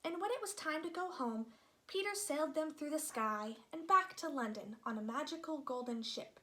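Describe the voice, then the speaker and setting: A woman reading a children's story aloud as an expressive narrator. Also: high-pitched, normal speed. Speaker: storybook reader.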